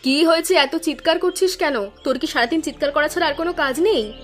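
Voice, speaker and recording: A woman's voice: sits high, fast, soft background music, lightly acted. A woman voicing a quarrelsome, indignant village wife in a cartoon fairy tale, emphatic and scolding. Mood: accusing, furious.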